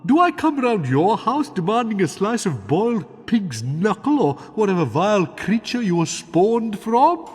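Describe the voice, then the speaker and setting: An actor playing a pompous, snobbish aesthete dragon in a video game, with a haughty, theatrical, affected drawl. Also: male voice, sits high, big pitch swings, a little slow, few pauses, breathy edge, full character voice. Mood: mocking, affronted. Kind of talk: video game cutscene acting. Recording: long cavernous reverb.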